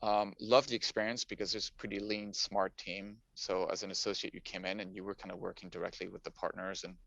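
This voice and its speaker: A man's voice: low voice, level tone, a little fast, gravelly, a bit bright, no echo. A middle-aged man from Paris, a native French speaker, giving casual, story-like answers as an interview guest. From Paris, France. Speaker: podcast guest, finance professional. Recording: dull, muffled lo-fi audio.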